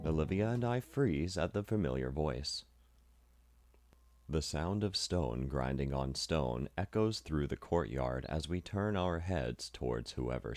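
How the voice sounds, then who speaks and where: A man's voice: very deep voice, dry recording. A man reading scripted first-person visual novel narration as narrator and voice actor, over plucked guitar music. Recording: loud music behind the voice.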